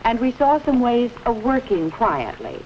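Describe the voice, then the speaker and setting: A woman's voice: fairly high pitch, very animated, measured pace, highs cut off. A woman playing a kindly, orderly primary school teacher in a 1950s educational film, patiently instructing young children. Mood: patient, instructive. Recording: noisy, band-limited 1950s film soundtrack.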